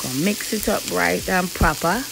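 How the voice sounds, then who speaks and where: A woman with a Jamaican accent narrating her cooking in a home kitchen, relaxed and folksy. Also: fairly low pitch, moderate pace, vocal fry, noisy background. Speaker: cooking vlogger. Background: background music.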